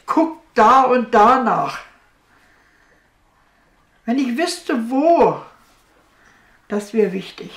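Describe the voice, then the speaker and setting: Slow, high-voiced older woman. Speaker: interviewed mother of a missing man. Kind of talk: halting personal account. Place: living room at home. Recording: echoey domestic room.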